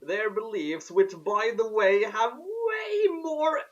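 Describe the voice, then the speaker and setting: Casting: male narrator for sarcastic read-along, high animated voice, dull lo-fi recording. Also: takes it slowly. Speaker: narrator and co-host. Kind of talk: story narration with sarcastic commentary.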